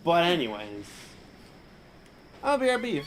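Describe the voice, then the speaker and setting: A man's voice: on the high side, huge pitch swings, a little slow, background noise. A man livestreaming a game, chatting casually in rambling, joking asides, with faint game sound behind.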